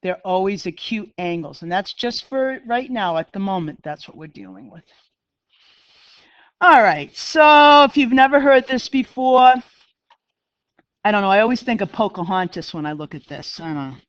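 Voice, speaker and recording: A middle-aged math teacher with a Boston accent talks her class through a lesson, chatty and quick to poke fun at herself. Her voice is smooth and clear, and the sound is dry but a little dull and lo-fi. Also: mid-range voice, animated, no room sound. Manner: chatty, self-deprecating, talks to students. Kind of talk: classroom lecture.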